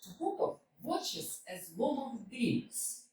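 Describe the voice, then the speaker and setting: Lady reading out stage directions, plain and steady, big echoey room with a bit of hiss. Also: fairly high pitch, takes it slowly. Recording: roomy hall from a distance, faint hiss.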